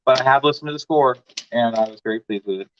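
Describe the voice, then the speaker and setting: A man chatting casually on a livestream in an easygoing, unhurried way. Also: mid-range voice, slight background noise. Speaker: livestream co-host. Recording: dull lo-fi mic.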